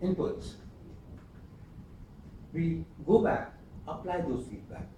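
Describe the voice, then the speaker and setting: A man's voice: on the high side, expressive, dark tone. Man speaking in an echoey hall. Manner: earnest, emphatic, pausing often. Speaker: club speaker. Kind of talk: prepared speech. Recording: echoey room, distant mic, faint hiss.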